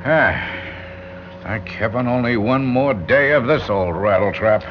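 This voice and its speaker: An actor playing a brusque, sarcastic, impatient elevator passenger in a classic radio drama, mocking and blustery. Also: male voice, medium pitch, measured pace, close to an everyday voice. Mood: exasperated. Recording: dull, hissy old radio recording.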